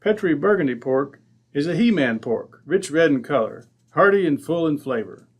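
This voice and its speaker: American male announcer, 1940s radio style, somewhat high voice, folksy and emphatic. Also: lively intonation, moderate pace, slightly rough.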